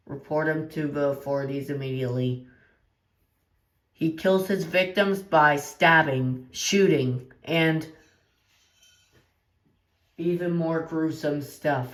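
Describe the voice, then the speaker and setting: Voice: medium pitch, slow, long pauses, echoey room, rough recording. A synthetic-sounding announcer reading a scripted emergency alert in a formal, stilted manner. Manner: formal, stilted warning delivery.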